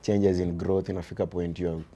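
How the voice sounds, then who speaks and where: Deep-voiced Kenyan man, earnest. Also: flat delivery, brisk, mellow. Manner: earnest, mixes English with Swahili, tags "you see". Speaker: guest, father of boys. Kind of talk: reflective conversational interview.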